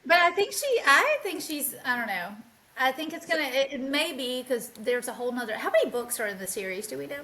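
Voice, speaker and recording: A woman's voice: high voice, sing-song, fairly quick, sharp tone. A woman co-hosting a book podcast, speculating in a tentative, casual way. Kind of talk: casual chat.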